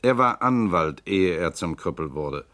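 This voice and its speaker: An actor playing a composed, precise lawyer and political candidate in a radio drama, speaking calmly and politely. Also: male voice, low voice, measured pace. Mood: cool. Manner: calm, precise, coolly polite. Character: suave composed male lawyer-politician suspected robot. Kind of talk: 1960s-70s radio drama acting.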